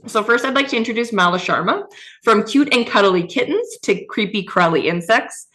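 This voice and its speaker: A woman's voice: a little high, animated, moderate pace, fairly bright tone. Upbeat woman hosting a youth livestream. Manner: upbeat, chatty. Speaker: host. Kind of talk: live online youth event hosting.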